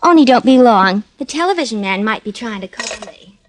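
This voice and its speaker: A woman's voice: on the high side, lively intonation, a little fast, natural voice. An actress playing a dim, friendly young American woman in a 1950s radio detective drama. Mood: casual, hesitant. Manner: naive, slangy.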